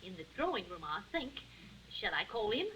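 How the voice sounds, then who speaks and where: A woman's voice: mid-range voice, very animated, fairly quick, sharp tone. An actress playing a fussy, genteel middle-aged landlady in an old radio drama, polite and fluttering. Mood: polite, flustered. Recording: noisy old radio, off-mic.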